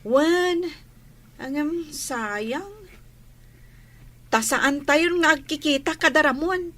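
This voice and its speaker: A woman playing a concerned young village friend in a radio drama, conversational and drawn-out, with a Filipino accent. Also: sits high, a bit bright. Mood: casual concern.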